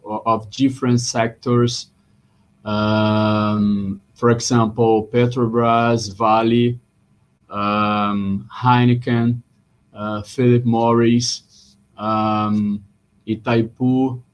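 A man from Brazil, a bank representative on a webinar panel, speaking haltingly with many fillers. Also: fairly low pitch. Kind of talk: online panel discussion.

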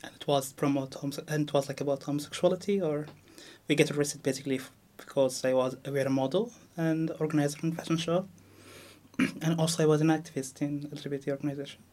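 A man telling an interviewer why he had to flee, in a halting way that restarts sentences often. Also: fairly high pitch, steady tone, darkish tone. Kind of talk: personal testimony.

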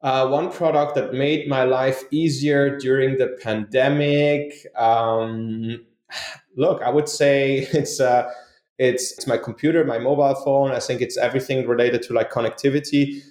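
A man from Switzerland answers interview questions at a middling pitch. He rambles and restarts, with many fillers. The recording has a roomy echo. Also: steady tone, a little slow, a little mellow. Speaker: guest, company co-founder. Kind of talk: casual podcast interview answers.

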